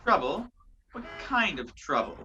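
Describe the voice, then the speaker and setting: A young woman playing a sceptical, gallant gentleman in an amateur radio play, with a theatrical, incredulous manner. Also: lowish voice, sing-song, a little slow, a little hiss or hum, heavily acted. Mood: puzzled, polite. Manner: incredulous, theatrical, old-fashioned phrasing. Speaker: student actor in a school radio play. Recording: dull lo-fi home recording.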